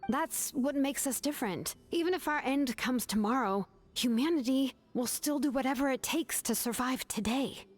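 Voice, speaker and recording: A woman's voice: high-pitched, normal speed, soft background music. A woman voicing an earnest, resolute young heroine in a video game. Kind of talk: scripted game dialogue.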